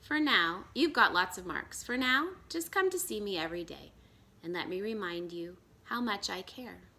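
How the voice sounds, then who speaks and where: A woman voicing a big, kindly, fatherly woodcarver in a children's storybook, speaking deliberately and reassuringly. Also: medium pitch, lively intonation, sharp tone. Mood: tender, firm. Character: large bearded old woodcarver, kindly fatherly maker. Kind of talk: children's storybook read-aloud.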